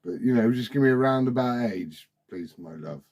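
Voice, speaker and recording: A man's voice: mid-range voice, expressive, moderate pace, highs cut off, very noisy. A forty-year-old man, chatting casually and familiarly over CB radio. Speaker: CB radio operator asking questions. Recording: CB radio transmission.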